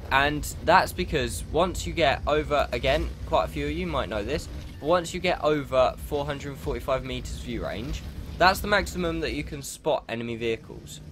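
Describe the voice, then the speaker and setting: Stuffy-nosed gamer explaining. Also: male voice, fairly high pitch, moderate pace, a bit bright, soft background music. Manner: rambling, explanatory, many ums. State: stuffy nose from a cold. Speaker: gaming channel host commenting a replay. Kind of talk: casual gameplay commentary.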